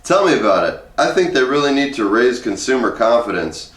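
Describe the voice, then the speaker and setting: A man's voice: medium pitch, big pitch swings, fairly quick, a bit bright. A man reads as an eager, chatty know-it-all father who was raised by wolves, in a comic audiobook essay. The voice sits back from the mic in an echoey room. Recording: roomy echo, distant from the mic.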